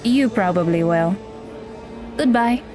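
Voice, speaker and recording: A cool, deadpan magical mentor girl, acted by a woman, curt and unimpressed, with a mid-pitched voice. She gives instructions with little warmth, and music plays under her lines. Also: slow pace. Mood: instructive. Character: aloof, blunt young magical mentor girl. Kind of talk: audio drama acting. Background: background music.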